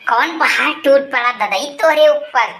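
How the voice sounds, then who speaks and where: A woman's voice: high-pitched, unhurried, vocal fry, fairly bright tone, exaggerated voice. A woman voicing a bossy, blunt village mother-in-law in a comedy cartoon, with an Awadhi accent. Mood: scolding, nosy. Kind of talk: comic cartoon dialogue.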